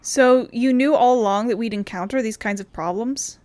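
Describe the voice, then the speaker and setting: A woman's voice: a little high, normal speed, a little mellow, no echo. Woman on a casual game playthrough. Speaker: gamer reading dialogue aloud.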